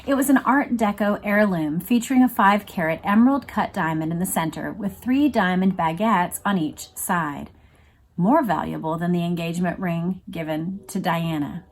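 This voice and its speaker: A woman's voice: on the low side, moderate pace. A woman hosting a read-along, reading aloud and commenting in a conversational, emphatic way. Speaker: host of a read-along video series.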